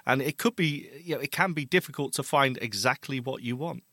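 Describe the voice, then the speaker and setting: Bright-voiced man, friendly podcast co-host. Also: mid-range voice, a little fast, no echo, clean studio sound. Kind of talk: casual chat.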